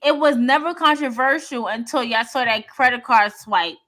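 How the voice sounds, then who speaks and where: A woman's voice: sits high, moderate pace. A woman co-hosting a podcast in casual live-stream chat, telling stories with lots of laughter. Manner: animated storyteller, laughs often, acts out quoted friends. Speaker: podcast co-host.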